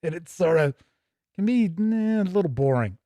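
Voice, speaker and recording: A man's voice: high-pitched, animated, normal speed, warm tone, no room sound, studio quality. A man hosting a tabletop gaming show, casually thinking aloud and drifting into asides as he pages through a book. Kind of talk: casual live commentary while paging through a rulebook.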